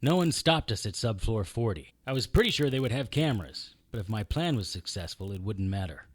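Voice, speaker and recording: A man's voice: fairly low pitch, big pitch swings, fairly quick. An actor playing a wry, impulsive, tough detective who narrates his own story in an audio drama. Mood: tense narrating. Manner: wry, hard-boiled. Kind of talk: first-person audio drama narration and acting.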